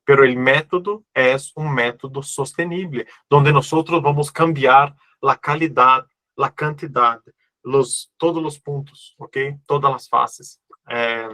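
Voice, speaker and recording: Un hombre con acento portugués brasileño que da una clase en línea por videollamada, cordial y tranquilizador, que pregunta a menudo "¿ok?". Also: male voice, mid-range voice, steady tone, a little slow, bright, forceful tone, no room sound. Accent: Brazilian Portuguese. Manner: friendly, reassuring, checks in with "ok?". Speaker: online course instructor. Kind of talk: live online class answering questions. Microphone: video call.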